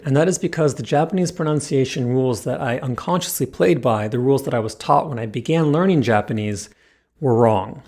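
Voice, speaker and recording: A man narrating a scripted pronunciation lesson, explaining clearly and demonstrating sounds with care. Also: medium pitch, darkish tone. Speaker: language teacher.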